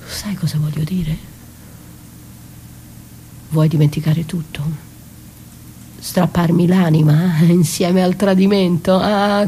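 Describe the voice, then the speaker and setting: An actress playing a wounded, betrayed wife in a theatrical monologue, bitter and defiant, with halting, intense delivery. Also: female voice, on the low side, mellow, a little hiss or hum. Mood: hushed, intimate, then defiant, hurt. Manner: dramatic, halting, intense, dropping to breathy whispers. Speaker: stage actress performing a solo.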